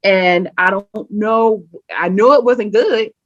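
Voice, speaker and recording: A woman guest on a podcast, telling a personal story in an animated, emphatic way and often acting out what people said. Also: medium pitch, slow, no room sound. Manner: animated, emphatic, acts out quoted speech. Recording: dull lo-fi sound.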